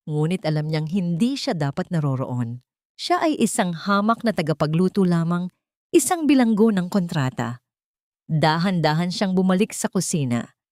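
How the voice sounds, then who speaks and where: A woman narrating a scripted dramatic story in a measured, solemn manner. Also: on the low side, a little mellow, no echo, studio quality.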